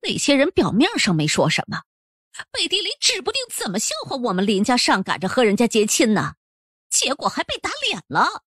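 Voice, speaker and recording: A woman's voice: fairly high pitch, huge pitch swings, a little fast, fairly bright tone, husky, dry recording, clean studio sound, exaggerated voice. A woman playing a haughty, indignant official's wife and grandmother in an audiobook drama, scolding and huffing.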